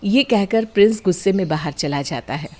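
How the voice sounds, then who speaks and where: A woman's voice: medium pitch, expressive, normal speed, dark tone. A woman reading scripted narration for a moral story.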